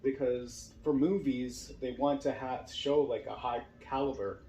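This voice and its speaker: A man's voice: medium pitch, fairly even intonation, unhurried, warm tone. A man talking casually as a podcast host and actor, telling stories in a room at a home. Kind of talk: casual chat. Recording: roomy echo, faint background noise.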